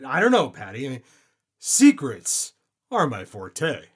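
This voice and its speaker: A man's voice: medium pitch, wildly swooping pitch, a little slow, slightly rough, heavily acted. A man playing a suave, cocky CIA agent in an audio drama. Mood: smug, flirtatious. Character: suave, cocky male CIA agent romancing his mistress.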